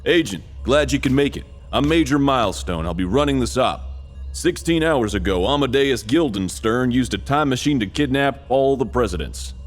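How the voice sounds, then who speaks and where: A man acts as a serious army major in a silly stealth game. He delivers briefings with deadpan authority in a medium-pitched voice. Faint music plays behind him. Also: moderate pace, natural voice. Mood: briskly serious. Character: earnest male army major, deadpan mission commander. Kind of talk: comic video game cutscene acting.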